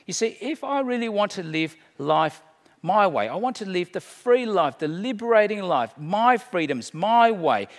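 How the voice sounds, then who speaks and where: A middle-aged man preaching a sermon, emphatic, swinging between hushed and loud delivery. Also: sits high, very animated, normal speed. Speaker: pastor. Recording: long hall reverb.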